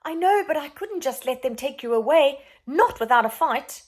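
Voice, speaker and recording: A woman's voice: high voice, expressive, normal speed, voice pushed up. A woman voicing an earnest, brave young prince in a children's storybook, urgent and pleading. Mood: apologetic.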